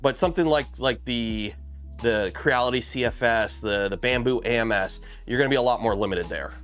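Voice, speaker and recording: A man's voice: mid-range voice, fairly quick, fairly bright tone, faint music behind. A man hosting a casual livestream, chatting in a rambling way with many fillers and restarts while losing his voice. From Canada. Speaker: livestream host building a 3D printer kit.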